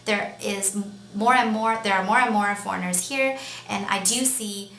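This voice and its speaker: Bright-voiced young Canadian woman, echoey room. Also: mid-range voice, steady tone. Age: young adult. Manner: rambling, hesitant, with many pauses. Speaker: vlogger. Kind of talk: casual, unscripted talk to camera. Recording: echoey room with faint noise.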